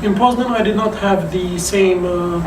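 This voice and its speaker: Syrian guest, high-pitched man, distant in an echoey room. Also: normal speed. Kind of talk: casual conversation. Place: office contact centre. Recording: roomy, distant, background noise.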